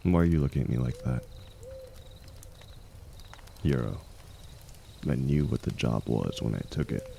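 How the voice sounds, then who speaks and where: A man playing an aging, wounded warrior, the hero's loyal and gentle shield, speaking softly and haltingly in an intimate audio roleplay. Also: extremely low pitch, creaky, a little hiss or hum, heavily acted. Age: older. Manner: soft, halting, confiding, reassuring.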